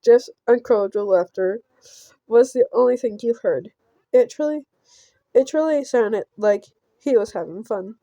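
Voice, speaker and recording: A woman reading a visual novel aloud as she plays, with playful, halting delivery and casual asides. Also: on the high side, mellow, dry recording, muffled recording. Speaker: gameplay streamer.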